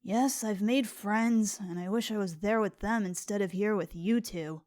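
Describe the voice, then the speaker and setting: A woman's voice: mid-range voice, normal speed, a little mellow, no echo, studio quality, natural voice. A woman playing a sullen, bratty young filly in a dramatic cartoon story reading, grumbling and disinterested. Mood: sullen, resentful. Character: sullen, hateful young filly, daughter of an empress.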